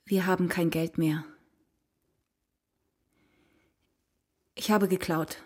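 A woman's voice: fairly low pitch, steady tone, normal speed, a little mellow, lightly acted. An actress playing an evasive, guilty mother in a children's radio play, speaking hesitantly and coaxingly. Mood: reluctant, guilty. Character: evasive, guilty mother confessing to her husband.

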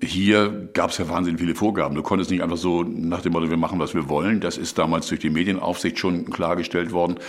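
A man's voice: deep voice, flat delivery, fast talker, few pauses. A middle-aged or older man, a radio veteran, speaking colloquially and emphatically in an interview. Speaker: long-serving radio staffer being interviewed. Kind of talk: spontaneous interview reminiscence.

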